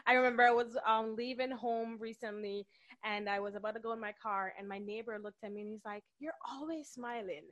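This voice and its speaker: A woman's voice: a little high, fairly even intonation, brisk. A woman hosting an interview podcast, speaking to her guest with encouraging interjections. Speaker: podcast host.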